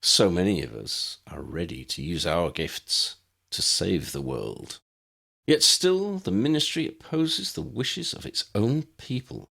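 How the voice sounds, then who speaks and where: A man voicing a calm, fanatical dark wizard giving a quiet, solemn speech in an audiobook. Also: low-pitched, measured pace, vocal fry, husky, heavily acted. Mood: righteous grievance.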